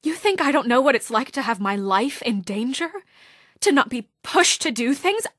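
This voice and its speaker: A woman's voice: high-pitched, animated, moderate pace, a bit bright, dry recording, clean studio sound. A woman playing a principled young deputy mayor in a story video game, speaking with earnest, firm exasperation in naturalistic dramatic dialogue. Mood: earnest, pleading.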